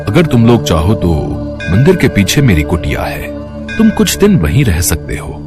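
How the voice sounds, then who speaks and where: A man's voice: lowish voice, huge pitch swings, moderate pace, music in the background. A man playing a kindly, practical temple priest who talks with avuncular concern.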